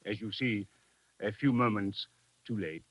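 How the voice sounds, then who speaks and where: Formal French police inspector. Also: male voice, lowish voice, slightly rough, dry recording. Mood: coolly suspicious. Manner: formal, measured, veiled threat. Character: suspicious, courteous Parisian police inspector. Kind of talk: 1940s radio acting. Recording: dull old radio recording.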